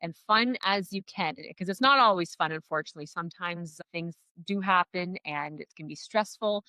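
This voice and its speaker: A middle-aged woman from Canada with a Canadian accent, an upbeat real estate agent chatting as a podcast guest, often pausing on "uh". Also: fairly low pitch, pushed and bright, dry recording. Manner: upbeat, chatty, frequent "uh" fillers. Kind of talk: casual podcast interview.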